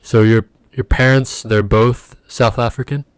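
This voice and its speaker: A man's voice: mid-range voice, fairly even intonation, a little slow, clear, resonant tone, no echo. A young man co-hosting a podcast, asking questions in a loose, casual way. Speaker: podcast co-host.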